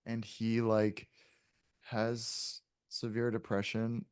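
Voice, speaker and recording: A man in his late twenties with a fairly low voice talks casually on a podcast. He is reflective and hedges his points, swearing now and then. The sound is close and very dry. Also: monotone, slow pace, a little mellow, smooth, no echo. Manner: hedging, reflective, frequent swearing. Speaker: podcast guest.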